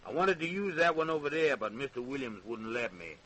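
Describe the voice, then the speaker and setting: An actor playing a servile but cruel plantation hand in a radio drama, folksy and eager, with a Southern American accent. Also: male voice, medium pitch, big pitch swings, brisk, sharp tone, rough recording. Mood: boastful, cruel. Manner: folksy dialect, eager, menacing relish. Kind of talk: old-time radio drama acting.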